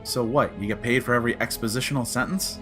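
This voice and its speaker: A man's voice: medium pitch, fast talker, close to an everyday voice. An actor playing a wry, skeptical male Time Lord adventurer in a sci-fi comedy audio drama, with a dry, sardonic delivery. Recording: loud music under the dialogue.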